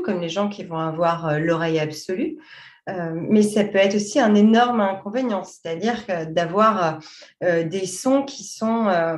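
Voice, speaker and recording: A woman's voice: medium pitch, darkish tone, echoey room. A woman from France, a coach, explaining her field in a podcast interview in a thoughtful way, with frequent hesitations and restarts. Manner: thoughtful, many fillers and restarts. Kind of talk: conversational explanation.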